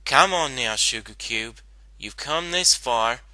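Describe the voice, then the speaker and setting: A folksy pony mare with a coaxing, encouraging manner, acted out by a man. The speech is slow and very bright, in a dry, close storybook reading. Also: mid-range voice, animated, no echo, full character voice. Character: encouraging, folksy female country pony friend.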